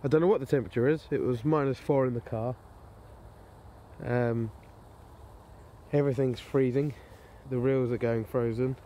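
A man's voice: medium pitch, fairly even intonation, moderate pace, pauses often, warm tone. A man chatting casually and in an understated way, as an angler presenting a fishing vlog. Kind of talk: casual chat to camera. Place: outdoors on a lakeside bank. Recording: outdoor camera audio, clear background noise. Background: outdoor ambient noise.

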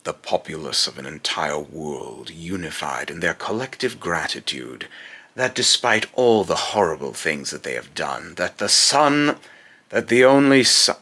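A very low, gravelly god of fear and loss, acted by a young man. He is a vengeful male tyrant who delivers solemn, pompous villain pronouncements slowly, with a forceful, rough edge. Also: lively intonation, heavily acted. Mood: bitter, scornful. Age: young adult. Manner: slow, pompous, solemn, repeats phrases in retakes. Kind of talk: scripted villain monologue, voice-acting takes. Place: small indoor room at home.

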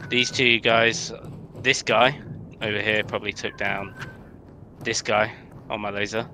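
Low, flat-voiced man over noisy game chat. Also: a little slow. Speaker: player in a tactical police game. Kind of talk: casual team radio-style game chat. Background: game ambience and noise.

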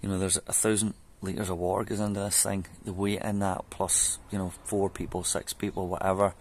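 A man's voice: deep voice, level tone, brisk, darkish tone, a little hiss or hum. A man, chatting loosely with many ums through a how-to vlog in his back garden, picked up by a camera mic. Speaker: home DIY vlogger. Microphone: camera mic on a fixed camera.

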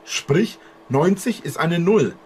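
A man in his twenties talking at length to a webcam in a bedroom, explaining in long, halting sentences with restarts. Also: a little high, animated, a little mellow, slight background noise. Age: 20s. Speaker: streamer. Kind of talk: rambling monologue to camera. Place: indoor bedroom with posters.

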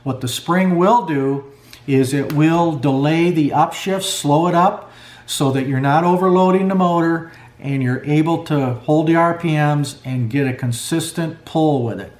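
A man's voice: fairly high pitch, a little slow, darkish tone. A middle-aged man talking through a clutch rebuild at his workbench in a plainspoken way, with lots of fillers. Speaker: snowmobile clutch mechanic. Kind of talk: hands-on workshop tutorial. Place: garage workbench. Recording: echoey workshop.

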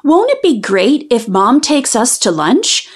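High-voiced woman reading a children's storybook. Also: very animated, a little slow, slightly rough. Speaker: host reading children's books aloud. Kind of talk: expressive children's storybook read-aloud.

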